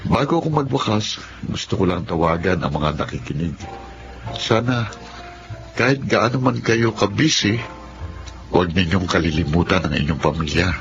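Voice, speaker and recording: A man's voice: low voice, moderate pace, long pauses, music in the background. A man playing an earnest, devoted lover in a Filipino radio drama, speaking sincerely. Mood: reflective. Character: earnest, devoted man, grateful survivor and husband. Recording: dull, noisy radio drama recording.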